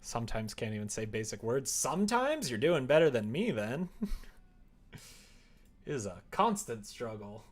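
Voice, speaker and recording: A man chatting casually on a live stream, talking half to himself as he works, sometimes louder. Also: medium pitch, big pitch swings, a little slow, pauses often. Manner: mutters to himself while working, with sudden louder bursts. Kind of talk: casual stream chat while working. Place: indoors at a computer desk, keyboard typing behind.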